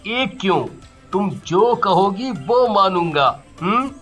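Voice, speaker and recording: Man acting a high, bright-voiced devoted husband. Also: animated, slow pace, faint music behind, heavily acted. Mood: affectionate. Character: Ram, devoted, indignant young husband. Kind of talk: cartoon family drama.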